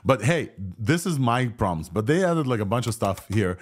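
A man in his thirties with a Russian and Israeli accent, hosting a live tech reaction video in an excited, rambling way. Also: mid-range voice, normal speed. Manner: excited, rambling, laughs often. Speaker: tech show host. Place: home room, indoors.

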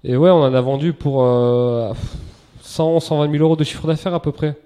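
A relaxed man in his thirties tells his story as a podcast guest, full of slang and self-corrections. His voice sits a little high for a man yet sounds mellow and dark. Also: normal speed, smooth. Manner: relaxed, chatty, lots of restarts and slang. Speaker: interview guest, entrepreneur. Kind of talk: casual podcast interview.

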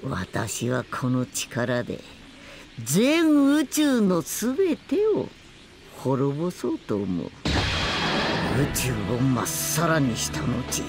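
A man's voice: mid-range voice, huge pitch swings, takes it slowly, heavily acted. A man voicing an arrogant, cold god villain who scorns humans, in pompous, formal speech for an anime game. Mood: grandiose, self-righteous. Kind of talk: Japanese anime game voice acting. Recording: loud dramatic music behind the voice.

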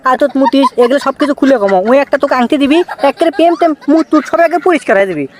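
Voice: sits high, animated. A performer voicing a teasing, boastful young village buddy in a comic cartoon, bantering in a Bogura accent from northern Bangladesh. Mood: eager, teasing. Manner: bantering, mocking, rapid-fire.

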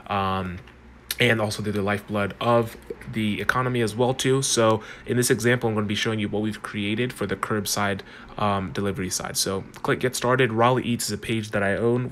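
Guy talking fast through a phone screen demo, lowish voice, bit of hiss behind. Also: fairly bright tone. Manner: quick, chatty, loose with restarts. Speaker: consultant demoing a chatbot. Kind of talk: casual screen-recorded walkthrough.

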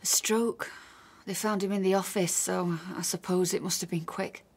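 A woman's voice: lowish voice, steady tone, moderate pace, a little mellow. A woman acting a grieving, trusting, lonely wife in a naturalistic radio drama. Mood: grieving, subdued.

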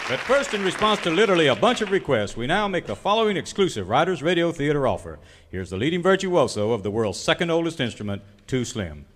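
Male announcer for spoof radio commercials, polished and animated, over audience sound and noise. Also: fairly high pitch, quick pace. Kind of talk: scripted parody commercial announcing. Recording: noisy live recording with crowd.

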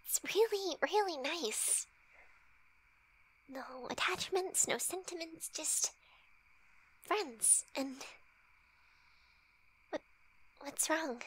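A woman playing a flirty, shy, teasing best friend in an intimate ASMR roleplay, with breathy, hesitant, soft speech. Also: high-pitched, lively intonation, extremely slow, pauses often, heavily acted, poor audio. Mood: tender, shy. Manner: breathy whispering, hesitant, giggly.

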